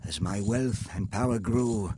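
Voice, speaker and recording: Rich older inventor type from a video game, husky voice, proper wordy speech, proud but kinda haunted. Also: male voice, lowish voice, a little slow, dark tone, dry recording. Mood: grave, reminiscing. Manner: formal, articulate, wistful storytelling. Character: wealthy older male industrialist inventor, proud, haunted, courteous. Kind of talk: scripted video game dialogue.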